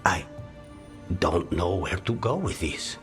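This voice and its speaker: A fussy, low-voiced connoisseur. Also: male voice, very animated, slow pace, fairly bright tone, faint music behind, heavily acted. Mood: appalled, fussy. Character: fussy, appalled suave male coffee connoisseur. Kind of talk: video game voice acting.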